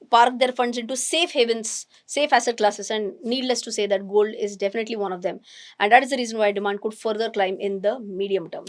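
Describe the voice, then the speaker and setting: A woman with an Indian English accent teaches investing with animated emphasis, often restarting mid-sentence as she thinks aloud. Her voice is hoarse and strained. It sits fairly high. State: hoarse, nearly lost voice. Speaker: finance educator. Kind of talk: explaining investing to camera.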